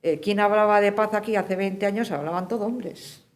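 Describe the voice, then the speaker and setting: Woman panelist, mid-pitched, emphatic. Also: normal speed. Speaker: panelist, civil society peace activist. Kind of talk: reflective spoken testimony at a forum.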